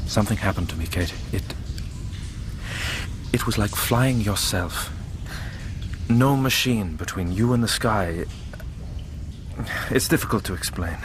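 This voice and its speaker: An actor playing a weary, idealistic test pilot captain in a radio drama. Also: male voice, on the low side, measured pace, pauses often, noisy background, close to an everyday voice. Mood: weary, guarded.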